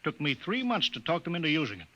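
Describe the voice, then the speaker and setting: A very sharp, forceful man's voice a gruff senior official in a vintage 1950s radio drama. He is brusque and bossy, speaks in clipped slang and bullies whoever is under him. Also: on the high side, wildly swooping pitch, fast talker, dull audio, exaggerated voice. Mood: scornful. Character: gruff, bullying, bigoted senior colonial official.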